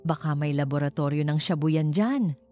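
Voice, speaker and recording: A woman's voice: sits low, brisk, dark tone, close to an everyday voice. A woman voicing a shifty, deceitful female neighbour, the villain of a storybook tale. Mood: spiteful. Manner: stammering excuses, veiled threats. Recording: music behind the voice.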